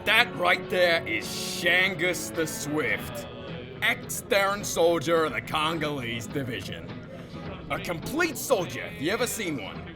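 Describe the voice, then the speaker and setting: A man's voice: a little high, big pitch swings, unhurried. A man acting as a folksy, wisecracking space-western barkeep with a drawling, theatrical delivery. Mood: salesman's relish. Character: folksy, wisecracking male space-saloon barkeep, showy salesman. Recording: music under the voice.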